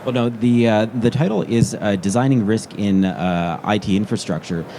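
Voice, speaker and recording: Man, lowish voice, halting fillers, panel talk, noisy conference floor. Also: mellow. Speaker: author on a panel. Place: large conference stage.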